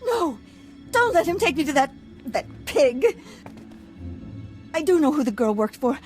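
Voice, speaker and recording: A woman's voice: sits high, big pitch swings, measured pace, a little mellow, soft background music. An actress playing a refined, proud but anxious noblewoman in a video game, speaking formally and earnestly. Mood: frightened, pleading. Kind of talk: video game character acting.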